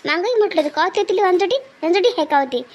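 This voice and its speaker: A woman acting as a stern older cartoon mother speaks in a very high voice with a Vidarbha Marathi accent. She is reproving and insistent, repeating herself as she scolds. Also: very fast, a little hiss or hum. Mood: scolding. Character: stern older mother defending her daughter-in-law. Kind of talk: amateur cartoon family drama.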